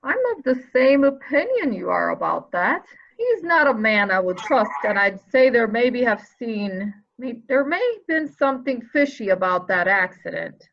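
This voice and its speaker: A woman's voice: a little high, very animated, moderate pace, creaky, hardly any pauses. A woman playing a plain-spoken, distrustful colleague of an investigator in a mystery reading, on a muffled recording. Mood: distrustful, dismissive. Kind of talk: dramatic reading of a murder mystery. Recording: dull, muffled lo-fi sound.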